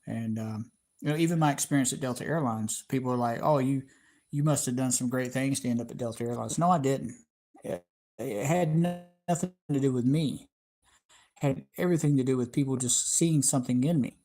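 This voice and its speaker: A middle-aged man with a Southern American accent hosts his own livestream, chatting casually to viewers. He rambles, stops and restarts often, and fills gaps with plenty of "uh"s. His voice sits at an ordinary pitch for a man. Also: fairly quick, dark tone. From Georgia, USA. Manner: rambling, many fillers and restarts. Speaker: channel host.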